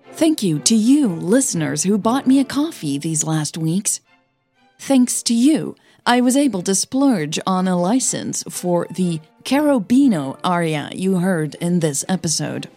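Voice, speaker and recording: A woman who narrates and hosts a fiction podcast, telling the story in a measured, dramatic way with deliberate pauses. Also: fairly low pitch, sing-song, dark tone, husky, faint music behind. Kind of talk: scripted audio fiction narration.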